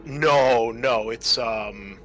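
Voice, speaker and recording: A man's voice: mid-range voice, drawn-out words, a bit bright, faint music behind. A man acting as game master, improvising the narration of a tabletop game.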